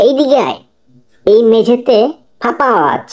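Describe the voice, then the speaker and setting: A woman's voice: on the high side, big pitch swings, moderate pace, highs cut off, very noisy. A woman playing an observant, uneasy young detective sidekick in a cartoon drama. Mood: alert.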